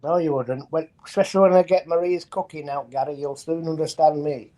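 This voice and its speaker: Male with strong Northern Irish twang, fairly high pitch, jovial and teasing in casual conversation. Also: fairly even intonation, fairly quick, warm tone. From Northern Ireland. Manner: jovial, repeats phrases. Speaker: chat participant. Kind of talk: casual chat, teasing banter. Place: remote online call.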